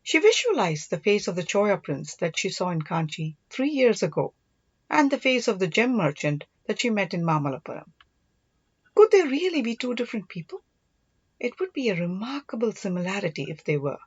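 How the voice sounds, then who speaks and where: Animated woman narrating an audiobook. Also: medium pitch, normal speed, slightly rough, no echo, muffled recording. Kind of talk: scripted storytelling narration.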